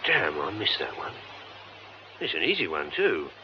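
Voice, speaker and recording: A plain-spoken, gruff British policeman in a radio play. The voice sits fairly low and moves expressively, over faint hiss. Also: huge pitch swings, unhurried, highs cut off, heavily acted. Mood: grumbling, pondering. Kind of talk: radio drama acting.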